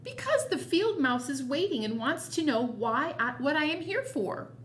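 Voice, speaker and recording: A woman's voice: sits high, very animated, moderate pace, slight background noise, voice pushed up. A woman reading a storybook as a small, puzzled, earnest earthworm searching for purpose. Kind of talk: storybook read-aloud.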